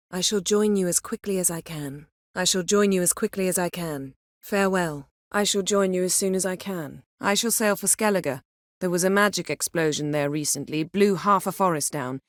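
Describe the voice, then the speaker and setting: A woman's voice: on the low side, dry recording, clean studio sound, natural voice. A woman voicing a proud, commanding, sardonic sorceress in a fantasy video game, with formal, cool, clipped diction. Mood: measured, businesslike. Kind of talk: video game voice acting, scripted dialogue.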